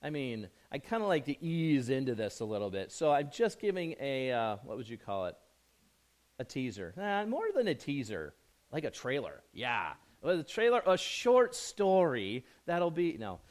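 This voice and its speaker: A hoarse man fighting off a chest cold gives a casual sermon from the pulpit. His voice sits at an ordinary middle pitch. He rambles, restarts his sentences and pokes fun at himself between points. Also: big pitch swings, moderate pace. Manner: chatty, self-joking, rambling with restarts. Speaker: pastor. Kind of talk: conversational sermon.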